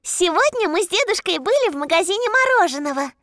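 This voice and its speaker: A woman's voice: extremely high pitch, big pitch swings, fast, a bit bright, heavily acted. A woman voicing a bubbly, eager five-year-old girl in a children's cartoon, cute and enthusiastic. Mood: playful. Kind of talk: children's animation dub.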